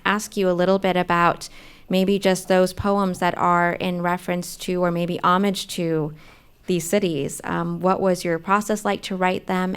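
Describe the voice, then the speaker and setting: A woman's voice: on the low side, smooth. A woman hosting a live event, asking a guest questions politely into a studio mic on a small stage. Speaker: host. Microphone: studio mic on a stand.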